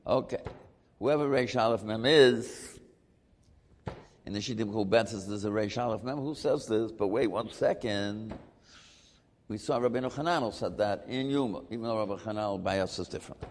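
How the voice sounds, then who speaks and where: A man teaching a Talmud class, lively and questioning as he works through the argument. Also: lowish voice, normal speed, in a large hall. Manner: animated, questioning, mixing Hebrew terms.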